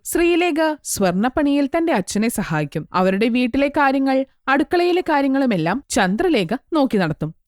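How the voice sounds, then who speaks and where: A woman's voice: high-pitched, a little mellow, no room sound, clean studio sound. A woman giving scripted narration of a children's story as its narrator.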